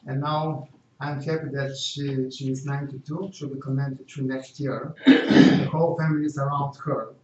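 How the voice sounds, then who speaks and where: A man's voice: mid-range voice, little pitch movement, normal speed, hardly any pauses, distant mic. A middle-aged man from Bulgaria with a Bulgarian accent, a non-native English speaker, giving an earnest talk as guest speaker and answering questions. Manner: earnest, repeats phrases with small slips of grammar. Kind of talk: prepared community talk and Q&A. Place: meeting room. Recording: dull, echoey live stream from afar.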